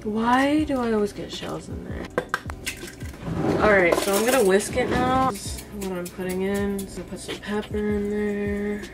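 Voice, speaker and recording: A woman's voice: mid-range voice, a little slow. Young American woman, slightly distant, over music. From United States, East Coast. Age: 20s. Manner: informal, thinking aloud, reading steps out. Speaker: cooking vlogger. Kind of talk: casual chat to camera while cooking. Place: small home kitchen, indoors. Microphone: camera a few feet away.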